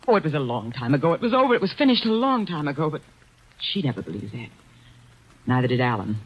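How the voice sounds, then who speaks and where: An actress playing an anxious secretary under suspicion in an old radio drama, speaking in halting, breathless bursts. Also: female voice, low voice, big pitch swings, slightly rough, lo-fi sound, exaggerated voice. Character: anxious female secretary, worried suspect. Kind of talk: 1940s radio acting.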